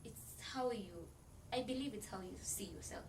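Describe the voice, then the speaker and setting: A woman's voice: a little high, moderate pace, far from the mic, noisy background. A woman podcast guest in an earnest, preacherly, faith-centered conversation, counting off her points and often filling with "like.". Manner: loose filler-heavy phrasing with frequent "like". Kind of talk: faith-based motivational conversation.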